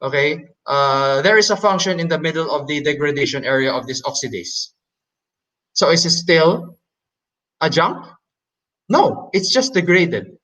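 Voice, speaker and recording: Man, hesitant presenter, non-native English, higher voice, bright and forceful tone. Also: expressive, a little slow, rough recording. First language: Hokkien Chinese. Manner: hesitant, many fillers and restarts. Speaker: debate presenter. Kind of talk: halting explanatory presentation.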